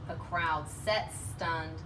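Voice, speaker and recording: A woman's voice: low-pitched, very slow. A woman co-host reading a children's picture book aloud, with casual chat and short agreeing asides. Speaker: storybook reader, co-host. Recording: distant microphone, noisy room.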